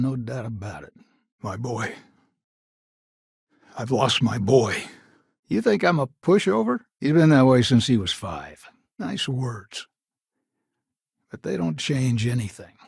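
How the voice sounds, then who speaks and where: A proud, bitter old patriarch from a frontier video game. His husky voice is full of gravel and grit, and he speaks curtly, with stern scorn. The recording is close and dry. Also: mid-range voice, expressive, takes it slowly, pauses often, a little mellow, no echo, full character voice. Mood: grieving, then scornful, stern. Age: older. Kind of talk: video game character dialogue.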